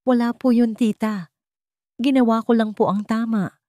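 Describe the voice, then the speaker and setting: A woman's voice: fairly high pitch, fairly even intonation, moderate pace, mellow, no room sound, clean studio sound, pitched above the performer's own voice, lightly acted. A Filipino woman voicing a polite, devoted young male lawyer in an audiobook love story. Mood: respectful.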